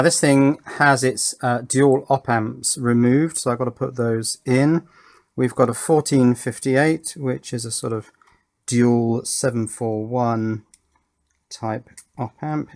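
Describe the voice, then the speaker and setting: A man's voice: medium pitch, steady tone, takes it slowly. Wry man giving a hands-on electronics demonstration. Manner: wry, dry, understated humour. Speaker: electronics hobbyist presenter. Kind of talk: casual hands-on demonstration commentary.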